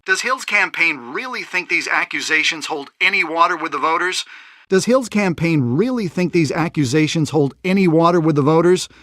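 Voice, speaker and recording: Glib, higher-voiced news presenter. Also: male voice, lively intonation, normal speed, sharp tone, no room sound, clean studio sound. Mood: pointed. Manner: polished, wry, emphatic. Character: glib male TV news presenter, wry and polished. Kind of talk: broadcast news reading, video game acting.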